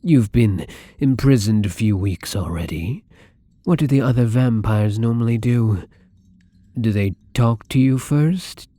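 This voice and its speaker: A man playing a shy, gentle, newly turned vampire in an intimate ASMR roleplay, speaking softly and haltingly in a breathy voice that often slips into whispers. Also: mid-range voice, animated, no room sound, lightly acted. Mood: flustered, apologetic. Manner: hesitant, halting, polite, soft-spoken.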